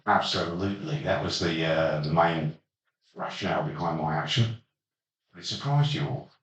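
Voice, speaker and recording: Deep-voiced man, hesitant and roomy. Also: normal speed, a bit bright. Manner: hesitant, many ums and uhs, dry jokes. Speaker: podcast host leading the session recap. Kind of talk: casual chat. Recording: roomy echo, distant mic.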